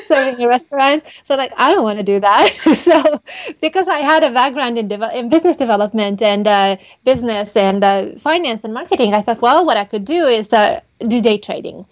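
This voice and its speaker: A woman's voice: fairly high pitch, expressive, a little fast. Chatty woman. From Hungary and Romania. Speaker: interview guest, PR and marketing expert. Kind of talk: conversational interview answers.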